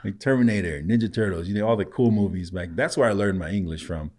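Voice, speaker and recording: A man from Samoa who grew up speaking tells stories in easy conversation, often breaking into laughter. His voice sits very low. He sounds like a guest in his thirties on a relaxed podcast. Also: fast. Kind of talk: casual conversational storytelling.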